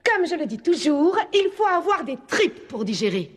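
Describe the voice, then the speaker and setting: Swooping, high-voiced eccentric schoolteacher. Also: female voice, very animated, normal speed. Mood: gleeful. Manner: theatrical, playful. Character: cheerful eccentric woman schoolteacher leading magical field trips. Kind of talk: French cartoon dub acting. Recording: loud music behind the voice.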